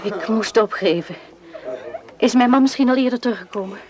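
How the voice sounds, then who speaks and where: Woman acting sensible brave lady in a war radio drama, highish voice, earnest, crowd behind. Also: a little fast, natural voice. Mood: weary, resolute. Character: brave, composed, sensible married woman in wartime. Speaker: actress in a radio play.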